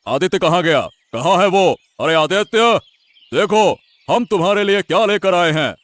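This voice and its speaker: A man's voice: high voice, animated, normal speed, a bit bright, no room sound. A man voicing a trusting, regal king who speaks earnestly in a moral-story cartoon. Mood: suspicious. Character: trusting, grateful fairy-tale king. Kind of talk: animated Hindi moral-story dialogue.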